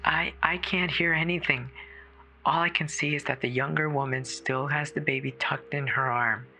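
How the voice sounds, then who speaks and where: A performer voicing a terrified woman caller alone in a snowbound house, halting and stammering with fear in a horror audio drama. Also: mid-range voice, fairly even intonation, pushed and bright, a little hiss or hum, poor audio. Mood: frightened. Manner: halting, fearful, breathy stammering. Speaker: emergency caller.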